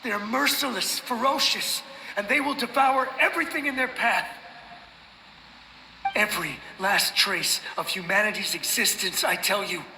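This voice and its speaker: A man's voice: sits high, moderate pace, creaky, pushed and bright, exaggerated voice. A man voicing a desperate, battle-weary, loyal samurai soldier in a video game, intense and urgent. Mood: desperate dread. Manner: pleading, intense, breathless urgency. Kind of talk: dramatic game voice acting. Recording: echoing long reverb, noisy ambience behind.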